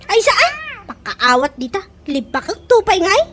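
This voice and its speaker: A woman's voice: very high voice, very animated, moderate pace, pushed and bright, slight background noise, full character voice. A woman playing a fed-up, indignant friend in an Ilocano comedy radio drama, speaking emphatically with an Ilocano accent. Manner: emphatic, scolding.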